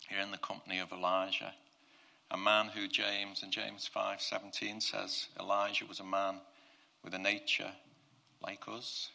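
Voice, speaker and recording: A man preaching to a congregation, with a croaky voice that he mentions himself. He talks earnestly and often repeats and restarts his phrases. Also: fairly low pitch, little pitch movement, moderate pace, sharp tone. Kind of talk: prayer and sermon to a congregation.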